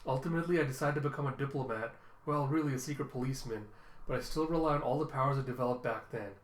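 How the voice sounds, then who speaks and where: A man voicing a proud, excitable young secret policeman and tutor from a cartoon, condescending and theatrical in manner. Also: mid-range voice, rapid-fire, lightly acted. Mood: earnest, lecturing. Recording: roomy, echoing room sound.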